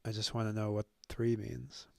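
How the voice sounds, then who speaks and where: A young man thinking aloud as he works through a language on his own, musing and joking at his own expense, halting often with fillers. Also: on the low side, level tone, gravelly, dark tone, dry recording. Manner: musing, self-deprecating, halting with many fillers. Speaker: language-learning video host. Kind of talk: thinking aloud while self-studying.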